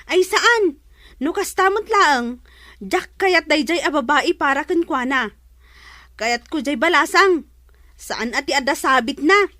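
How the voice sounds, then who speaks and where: A woman's voice: high voice, animated, unhurried, sharp tone, no echo, studio quality, full character voice. A woman playing a catty, disbelieving young daughter in an Ilocano audio drama. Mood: scornful.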